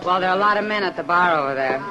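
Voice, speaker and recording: A woman's voice: on the low side, racing, dull audio, close to an everyday voice. An American woman acting a worldly, frank saloon woman in an old-time radio western, with a direct, knowing manner. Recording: old radio drama with music behind.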